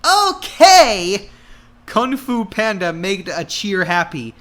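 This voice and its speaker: A man narrating a comic dramatic reading of fan fiction in an exaggerated, halting way. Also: fairly high pitch, fairly bright tone.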